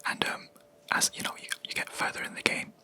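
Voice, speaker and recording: A young adult video creator, whispering a rambling, hesitant gaming chat close to the mic in a quiet room. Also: brisk. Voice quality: soft unvoiced whisper throughout. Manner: rambling, hesitant, with many ums and self-corrections.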